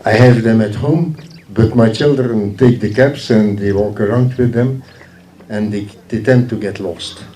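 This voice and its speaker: Male guest speaker, unhurried, emphatic, mellow tone, echoey distant recording. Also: lowish voice, slight background noise. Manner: emphatic, repeats phrases for effect. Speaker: guest speaker from a forestry organisation. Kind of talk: informal public speech at a ceremony. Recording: echoey speech heard from far off.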